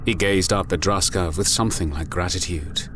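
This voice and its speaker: A man narrating a dramatic scripted story in a grave, deliberate manner. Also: sits low, a bit bright, a little hiss or hum.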